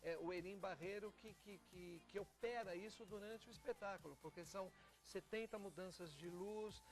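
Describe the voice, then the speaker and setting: A Brazilian man from a theatre company giving an explanatory TV interview, often pausing and repeating words as he goes. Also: sits high, faint music behind. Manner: explanatory, with frequent pauses and repeats. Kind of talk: TV interview talk.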